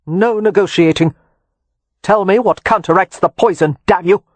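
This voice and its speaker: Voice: mid-range voice, big pitch swings, moderate pace, slightly rough, dry recording. A narrator voicing a hot-tempered, sardonic young nobleman in an audiobook. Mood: furious. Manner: furious, raised voice. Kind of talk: audiobook character voice.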